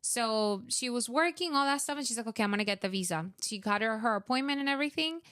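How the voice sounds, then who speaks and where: Young woman, smooth clear voice, dry close sound, chatty with lots of fillers. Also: on the high side, expressive, fast, fairly bright tone, no echo. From Venezuela. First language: Spanish. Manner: chatty, many fillers, acts out her own past thoughts. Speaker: guest. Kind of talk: casual interview storytelling.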